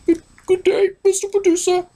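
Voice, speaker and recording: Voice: very high voice, level tone, moderate pace, dark tone. A performer voicing a melodramatic, dutiful male butler puppet in a comedy skit, theatrical, servile and emotional. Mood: despairing.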